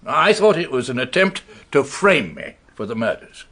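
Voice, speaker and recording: Sardonic british gent suspect in a radio play, defensive, bright edgy voice, swingy pitch, bit husky. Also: male voice, big pitch swings, moderate pace. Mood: resigned. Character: evasive, indignant gentleman suspect, sardonic under questioning. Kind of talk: radio drama acting.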